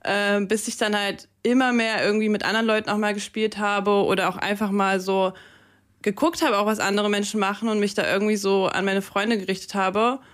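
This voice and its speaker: A woman's voice: mid-range voice, level tone, a little fast. A young woman DJ, Russian by first language, chats easily in a campus radio studio. She talks loosely, with fillers and English slang words mixed in, into a close studio microphone. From Germany. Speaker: DJ, interview guest. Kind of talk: casual radio interview.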